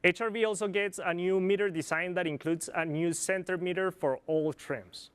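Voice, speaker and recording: A man from Colombia with a Colombian Spanish accent, a product planner giving a measured, scripted corporate presentation. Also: high voice, clear, resonant tone. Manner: measured, informative, occasional slips.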